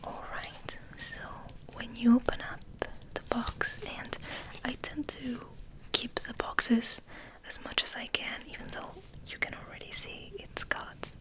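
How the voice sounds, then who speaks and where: Whispering woman, slow and hushed ASMR. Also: fairly high pitch, flat delivery, poor audio. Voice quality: breathy whisper. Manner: gentle, hushed, unhurried. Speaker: ASMR host. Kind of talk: ASMR, soft rambling chat and reading aloud.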